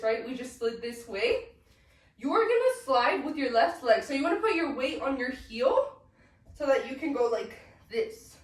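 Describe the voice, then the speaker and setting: A young woman with a cold, sniffling as she casually teaches dance steps and counts the beats aloud. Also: high voice. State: sick, sniffly. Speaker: dance tutorial instructor. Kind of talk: casual step-by-step dance instruction. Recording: echoey room, mic at a distance.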